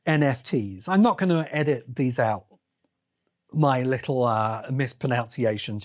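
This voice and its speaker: A man hosting a podcast solo, giving conversational commentary in an emphatic, deliberate way, repeating phrases for effect. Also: medium pitch, darkish tone. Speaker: podcast host and critic.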